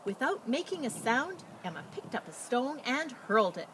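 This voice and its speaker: A woman speaking as a school storyteller outdoors, explaining and reading in a simple, animated way for children. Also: a little high, normal speed. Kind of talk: casual introduction and expressive children's read-aloud. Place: outdoors beside a chicken coop. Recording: noisy outdoor recording with animal sounds.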